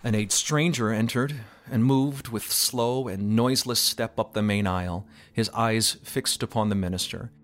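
A man's voice: lowish voice, moderate pace, few pauses, a bit bright, slight background noise. A man reading a literary story as narrator, in a dramatic audiobook style. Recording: music behind the voice. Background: background music.

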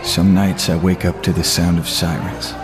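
A brooding retired vigilante, played by a man speaks in a low, grave voice, confiding and haunted. Music plays clearly behind him in this audio drama. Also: level tone, normal speed, natural voice. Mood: haunted, confessional.

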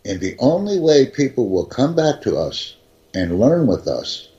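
A man teaching English conversation, speaking slowly and deliberately and repeating his key points. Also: mid-range voice, sing-song, warm tone, highs cut off. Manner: slow, carefully enunciated, repeats key phrases. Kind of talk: slow, deliberate teaching talk.

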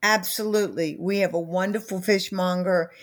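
An older woman with a Southern US accent, a founder interviewed about her book, speaking in a measured way with many pauses and fillers. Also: lowish voice, fairly even intonation. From North Carolina. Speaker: author and company founder. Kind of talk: interview conversation.

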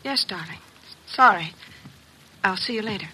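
An actress playing a meek, sweet wife, polite and submissive, in 1950s radio drama style. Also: female voice, medium pitch, lively intonation, moderate pace, bright, forceful tone, slight background noise, poor audio. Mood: apologetic. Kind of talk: 1950s radio detective acting.

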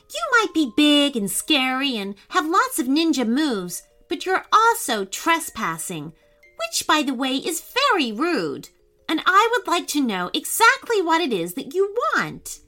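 A brave, polite young man from a storybook, firm and courteous as he stands his ground, as a woman voices him. The voice sits fairly high and moves a lot in pitch, with soft music beneath. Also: big pitch swings, measured pace, heavily acted.

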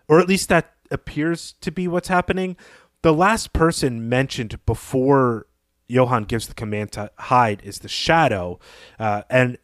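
Male co-host, medium pitch, conversational and analytical, measured reasoning, very dry close recording. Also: no echo. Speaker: podcast co-host.

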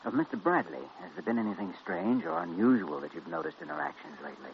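A firm but kindly physician in an old radio play. His fairly deep, gravelly voice moves with earnest, soothing care. The audio is dull and faintly noisy. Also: wildly swooping pitch, fast, heavily acted. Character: firm, caring male hospital doctor, protective of patient. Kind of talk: 1940s radio drama acting. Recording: muffled, hissy old radio recording.

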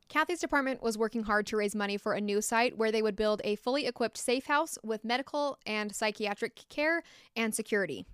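A woman's voice: medium pitch, fairly bright tone, smooth, no room sound. An American woman hosting a podcast, narrating a true story with blunt, opinionated asides from a close mic. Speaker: podcast host.

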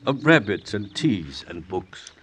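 Slow, low-voiced exiled revolutionary. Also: male voice, sing-song, fairly bright tone, breathy edge, heavily acted. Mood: mild, musing. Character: exiled Russian revolutionary leader, middle-aged or older, brooding, deliberate. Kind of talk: old-time radio drama acting. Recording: noisy old radio recording.

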